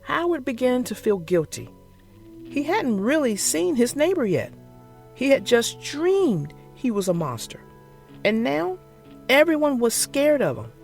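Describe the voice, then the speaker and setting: An expressive children's storyteller reads with very lively, swooping intonation. The delivery is theatrical and deliberate, made for young listeners, over faint background music. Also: high-pitched, gravelly. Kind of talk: expressive scripted read-aloud for young children.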